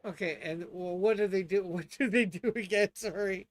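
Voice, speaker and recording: A man with a somewhat high voice chats as a player in a tabletop game. He thinks aloud casually, sounding hesitant and tentative as he works through choices. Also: normal speed.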